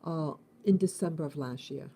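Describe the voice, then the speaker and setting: Very dark-voiced woman in her fifties musing. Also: sits low, slightly rough. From United States. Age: 50s. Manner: halting, repeats and restarts, musing. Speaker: host. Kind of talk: casual spoken morning reflection.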